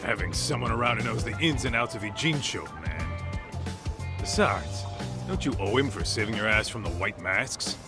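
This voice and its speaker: A man's voice: on the low side, lively intonation, normal speed, close to an everyday voice. A man acting a brash, easygoing tough-guy detective buddy in an English-dubbed game cutscene, blunt, slangy and jovial. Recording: music behind the voice.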